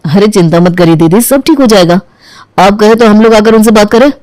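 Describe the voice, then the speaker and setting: A woman's voice: mid-range voice, fairly quick, a little mellow, dry recording, natural voice. Woman playing an agreeable, polite younger brother. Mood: reassuring. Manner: polite, reassuring.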